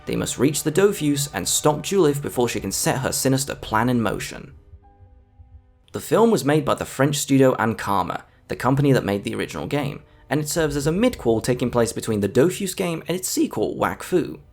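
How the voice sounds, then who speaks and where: A man's voice: mid-range voice, very animated, fairly quick, vocal fry. A man giving scripted video essay narration as a film reviewer, with an enthusiastic, emphatic delivery. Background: background music.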